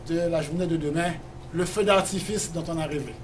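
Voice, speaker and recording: A man's voice: a little high, fairly quick. A man from Haiti, a festival organizer, giving a hesitant welcome speech full of fillers at a launch evening. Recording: distant mic with background noise.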